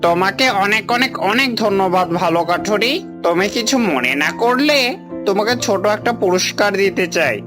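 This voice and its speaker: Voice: medium pitch, lively intonation, normal speed, music in the background, close to an everyday voice. A performer voicing a grateful fox and a greedy boy in a cartoon, speaking eagerly. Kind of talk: cartoon voice acting.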